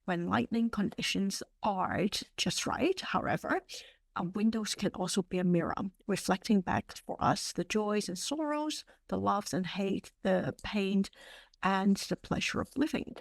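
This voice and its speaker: A woman's voice: medium pitch, gravelly, no room sound. Cantonese-speaking woman presenting a webinar. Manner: measured, with frequent um fillers. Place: online webinar.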